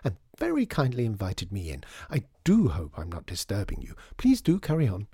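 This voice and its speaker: A man voicing a dithery, genteel old lady in a storybook, breathy, effusive and wistful. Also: fairly low pitch, huge pitch swings, moderate pace, dark tone, no echo, clean studio sound, exaggerated voice. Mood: delighted, polite. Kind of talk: storybook character voice.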